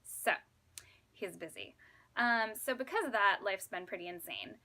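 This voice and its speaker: Bright-voiced woman vlogger in her thirties. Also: mid-range voice, sing-song, moderate pace. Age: 30s. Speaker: vlogger and fifth grade teacher. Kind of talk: casual chatty vlog to camera.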